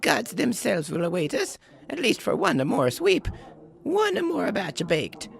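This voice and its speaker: A woman playing Befana, an old peasant woman who is fussy and busy, speaking with a creaky, gravelly voice and scoffing exclamations. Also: wildly swooping pitch, unhurried, full character voice. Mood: dismissive. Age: older. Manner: exclaims "Bah!", swoops in pitch. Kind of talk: audio drama acting.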